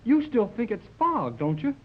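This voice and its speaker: An actor playing an eager, excitable young radio operator in 1930s radio serial style, breathless and insistent. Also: male voice, high voice, moderate pace, a little hiss or hum. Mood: excited, insistent. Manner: breathless, insistent, boyish questioning. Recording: muffled old 1930s radio recording.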